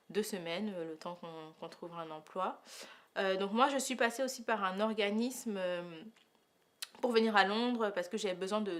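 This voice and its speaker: A woman of thirty with a mid-range voice. She tells her own story as an unscripted testimonial, hesitating and pausing often as she searches for the next phrase. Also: mid-range voice. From Paris, France. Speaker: testimonial interviewee. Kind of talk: spontaneous personal testimonial.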